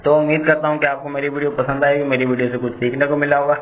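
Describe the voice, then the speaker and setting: A man's voice: a little high, level tone, very fast. A man hosting a tutorial, explaining steps in a repetitive, instructional way. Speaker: tutorial host. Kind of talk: step-by-step phone tutorial narration. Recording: tinny phone-like audio, background noise.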